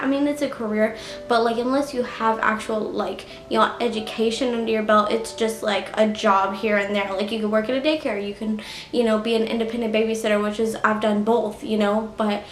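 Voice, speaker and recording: A woman in her late twenties talking casually to her viewers, full of "like" and "you know". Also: a little high. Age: 20s. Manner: chatty, heavy use of "like" and "you know". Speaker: YouTuber. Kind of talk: casual storytime vlog. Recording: echoey room.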